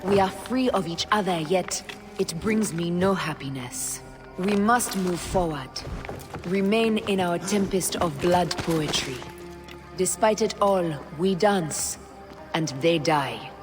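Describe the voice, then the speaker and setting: Slow, grave woman assassin. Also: fairly low pitch. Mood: solemn resolve. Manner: grave, deliberate, formal. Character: solemn, resolute woman assassin, betrayed former servant of queen. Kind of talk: dramatic video game acting and narration. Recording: music under the voice. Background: music.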